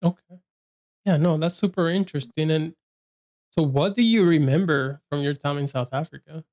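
A man's voice: a little high, normal speed, a little mellow. A man interviewing a guest, asking loose, rambling questions full of fillers and easy laughs.

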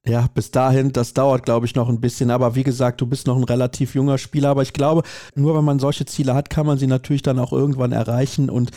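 A male podcast host with a mellow, warm tone and a dry, close sound. He talks in a conversational way, often doubling words for emphasis. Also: mid-range voice, level tone, quick pace, few pauses, no echo. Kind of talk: interview questions and commentary.

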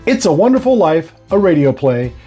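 Animated, mellow male reporter over faint music. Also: fairly high pitch, moderate pace. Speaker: news reporter. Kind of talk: scripted news narration.

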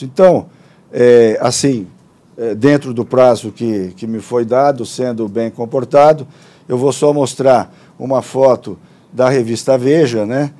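A man with a Brazilian Portuguese accent giving a brisk formal presentation with hesitant restarts. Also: medium pitch, warm tone. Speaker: conference speaker.

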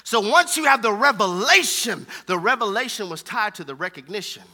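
A man's voice: sits high, lively intonation, moderate pace, sharp tone. An American man preaching a fervent sermon with emphatic, repetitive rhetorical phrasing.

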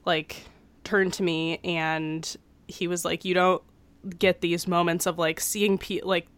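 A woman's voice: on the low side, little pitch movement, slow, a bit bright. A woman in her twenties co-hosting a casual podcast, getting over a cold and telling a story with lots of fillers. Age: 20s. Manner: chatty, many fillers. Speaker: podcast co-host. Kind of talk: casual chat. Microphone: studio microphone.

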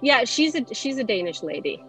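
A woman's voice: on the high side, big pitch swings, normal speed, fairly bright tone, soft background music. A woman speaking casually and conversationally as an interview guest. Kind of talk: casual chat. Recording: slightly dull, lo-fi sound.

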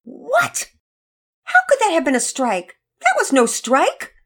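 A young girl from a storybook, acted out by a woman, pitched far up and full of hurt. She wails and whines in indignant protest, her voice swinging widely. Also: wildly swooping pitch, slow, fairly bright tone, heavily acted. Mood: indignant disbelief. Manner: wailing, whiny protest. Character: upset young girl, tearful, indignant, sulking.

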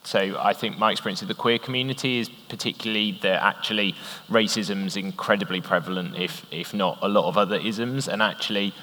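Deep, bright-toned man moderating a panel. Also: brisk. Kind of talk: live panel discussion. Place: conference hall, on stage. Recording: reverberant hall.

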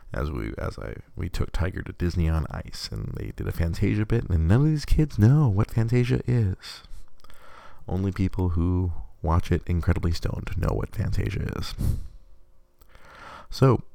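A man hosting a casual live podcast while sick, with a congested voice and extra bass from his illness, chatting in a rambling, self-correcting way. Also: gravelly, dark tone, dry recording. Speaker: podcast host.

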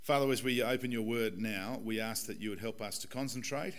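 A man in his forties, a pastor with a croaky, hoarse voice, giving a conversational and earnest sermon in a church. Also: fairly low pitch, fairly quick. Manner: conversational, earnest preaching with fillers. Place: church hall.

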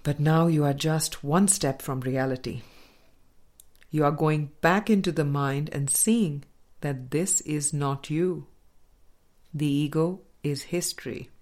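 A woman reading a spiritual dialogue book aloud as an audiobook, in a measured, deliberate manner with pauses. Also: low-pitched, darkish tone. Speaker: audiobook reader.

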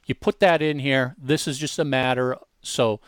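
Male tutorial host, mid-pitched and dry-sounding, informal, hammers key points directly at the listener. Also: steady tone, normal speed, clear, resonant tone, no echo. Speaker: co-host demonstrating an online tool. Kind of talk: casual software walkthrough.

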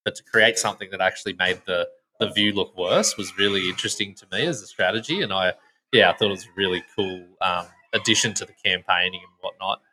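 A man hosting a podcast, asking questions in a relaxed, chatty way with plenty of fillers. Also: low voice, quick pace, a little hiss or hum, low-quality recording. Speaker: podcast host. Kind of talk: casual podcast interview.

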